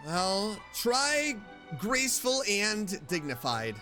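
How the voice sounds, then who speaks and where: A woman's voice: medium pitch, big pitch swings, slow, over music. A woman streaming a video game, giving casual, chatty and playful reactions as she plays. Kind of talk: casual reactive gameplay commentary.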